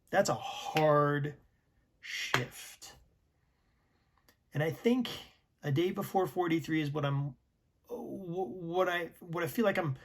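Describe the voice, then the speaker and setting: A man in his early forties giving a slow, reflective personal talk, sometimes dropping to a near whisper. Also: fairly high pitch. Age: 40s. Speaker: vlogger.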